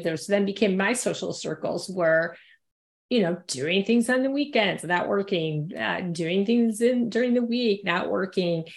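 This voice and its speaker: A woman's voice: mid-range voice, creaky. A woman guest in a conversational interview, rambling with frequent fillers. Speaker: podcast guest.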